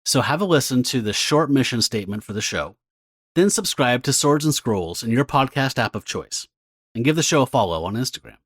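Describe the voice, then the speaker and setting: Man, podcast host, medium pitch, scripted announcement delivery, dry studio-tight sound. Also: expressive, brisk, a bit bright, slightly rough, dry recording.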